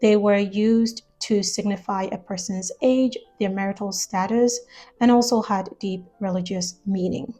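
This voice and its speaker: A woman's voice: mid-range voice, steady tone, mellow. Woman from Ghana giving a video essay. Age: adult, lived years in the US. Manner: measured, explanatory, thoughtful.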